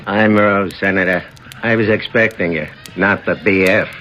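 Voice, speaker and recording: An actor playing a brassy, sardonic American nightclub owner with a mocking, slangy swagger in a 1970s radio drama. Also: male voice, sits low, fairly even intonation, normal speed, over loud music, natural voice. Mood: mocking welcome.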